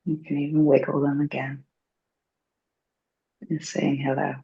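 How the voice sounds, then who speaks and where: Husky, low-fi woman guiding meditation. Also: little pitch movement. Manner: gentle, hushed, unhurried phrasing. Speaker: guided meditation teacher. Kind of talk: slow, soothing guided meditation. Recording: dull, muffled lo-fi recording.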